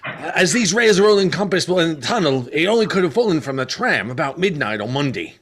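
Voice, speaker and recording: A man's voice: on the high side, very animated, brisk, a bit bright, slight background noise. A man acting a courteous, earnest old railway official in a radio play.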